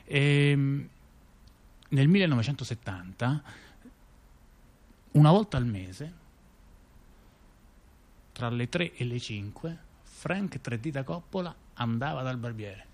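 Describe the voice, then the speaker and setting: A man's voice: medium pitch, lively intonation, a little mellow. A man telling a story conversationally, repeating words and often tagging on little questions. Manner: halting, with repeated words and frequent rhetorical tag questions. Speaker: storyteller in a two-person narrative theatre dialogue. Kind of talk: conversational narrative monologue.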